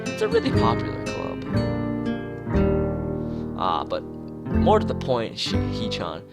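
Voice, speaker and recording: A man's voice: fairly low pitch, wildly swooping pitch, slow, vocal fry, over loud music, full character voice. Man putting on a perky, pushy schoolgirl. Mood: chirpy, inquisitive. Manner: perky, exclamatory, repeats words emphatically. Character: bubbly, pushy schoolgirl chatting up a new classmate.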